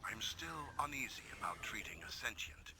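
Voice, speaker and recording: An actor playing a cold, scheming male robot scientist, speaking in a hushed, breathy whisper as he reads detached clinical reports from in-game audio logs. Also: lowish voice, animated, brisk, close to an everyday voice. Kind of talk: in-game audio log recordings.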